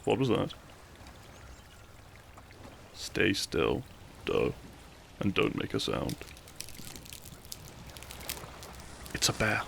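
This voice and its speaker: A man playing a cheeky, tender and protective young bandit outlaw in an intimate romantic audio roleplay, speaking in a gentle, courtly, teasing way. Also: deep voice, sing-song, slow, vocal fry, a little hiss or hum, exaggerated voice. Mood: tense, hushed caution.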